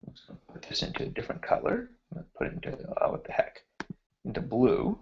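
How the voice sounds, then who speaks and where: A man's voice: darkish tone, highs cut off, slight background noise. A man teaching a finance class over an online hangout, walking through a spreadsheet example while he is losing his voice. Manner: slow, thinking aloud while formatting cells. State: losing his voice, clearing throat. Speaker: finance instructor. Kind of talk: screen-share spreadsheet lecture. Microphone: computer mic on a video hangout.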